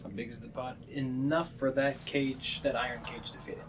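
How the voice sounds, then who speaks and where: A man's voice: mid-range voice, level tone, normal speed, background noise. A man improvising narration as the game master of a tabletop game.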